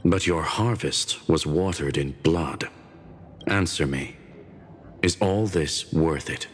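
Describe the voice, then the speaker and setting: A man's voice: very deep voice, slow pace, a little hiss or hum. An actor playing a cold, judgmental male interrogator in a video game, terse and grave. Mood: stern. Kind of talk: dramatic video game voice acting. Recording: long reverb on the voice.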